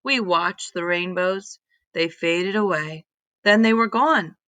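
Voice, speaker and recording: A woman narrates a children's story with deliberate expression. Her voice is bright and clearly projected, at a middle pitch. She takes her time over the sentences, and the sound is close and dry. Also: dry recording. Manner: deliberate, animated reading with emphatic exclamations. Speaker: read-aloud storyteller.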